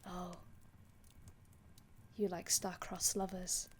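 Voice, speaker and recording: A woman's voice: on the low side, little pitch movement, slow, slight background noise. A woman playing a courteous, ancient vampire healer in an ASMR roleplay, speaking formally and reassuringly with archaic phrasing and small stammers. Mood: soft, reflective. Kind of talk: ASMR roleplay, addressing the listener.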